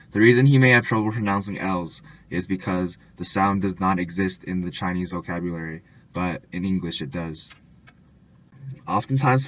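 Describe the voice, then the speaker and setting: Deep-voiced man reading a school report. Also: fairly bright tone, muffled recording. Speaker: student presenter. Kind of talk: scripted narration.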